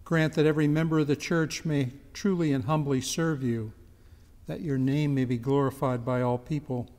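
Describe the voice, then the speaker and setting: A man's voice: mid-range voice, steady tone, normal speed, a little mellow. An older man priest solemnly reading liturgical prayers aloud from a book in a church. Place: church chancel, indoors. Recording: long church reverb.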